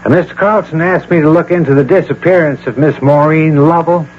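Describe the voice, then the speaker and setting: Actor as an unflappable private detective, muffled radio. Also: male voice, fairly high pitch, fairly even intonation, a little fast, lightly acted. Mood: polite. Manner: deferential, matter-of-fact, dry. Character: polite, unflappable male private detective, matter-of-fact and worldly. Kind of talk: 1980 radio drama acting. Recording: dull, hissy old radio broadcast.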